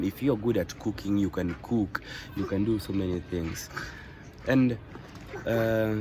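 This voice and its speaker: A Kenyan man hosting a casual vlog, switching between English and Swahili with halting, drawn-out phrasing, outdoor noise around him. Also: medium pitch, warm tone. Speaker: vlog host.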